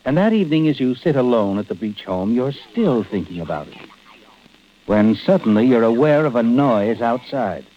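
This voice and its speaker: A man's voice: mid-range voice, sing-song, normal speed, slight background noise, natural voice. An actor as an ominous, knowing narrator of an old-time radio mystery, insinuating and taunting as he addresses the listener. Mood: sinister, knowing. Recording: dull old radio recording.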